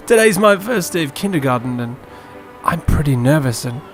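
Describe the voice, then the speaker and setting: A meek young boy, voiced by a storyteller, nervous and earnest. He speaks in a low-pitched, hesitant way, apologetic and downtrodden, in an audio comedy. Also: expressive, normal speed, soft background music, close to an everyday voice. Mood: shy, friendly.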